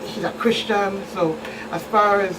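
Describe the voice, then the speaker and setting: A middle-aged woman with a Virgin Islands accent speaks at a middling pitch. She explains things plainly as an expert guest on a talk show, with the mic at a distance and clear noise behind her. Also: steady tone, unhurried. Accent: Virgin Islands Caribbean. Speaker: guest police expert, chief of detectives. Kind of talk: talk show interview answers.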